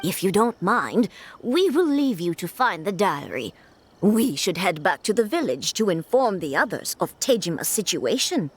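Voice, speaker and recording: A woman's voice: medium pitch, big pitch swings, normal speed, a little hiss or hum, natural voice. An actress playing a helpful, earnest village woman in a video game. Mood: polite. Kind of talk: video game character dialogue.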